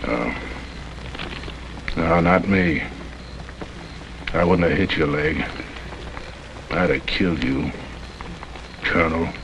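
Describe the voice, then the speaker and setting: A man's voice: very deep voice, takes it slowly, pauses often, exaggerated voice. An actor playing a hard, blunt, captive rebel gunman in an old TV western, taunting with scorn and menace. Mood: cold, taunting. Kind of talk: 1950s TV western acting. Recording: noisy old TV soundtrack, rain-like hiss.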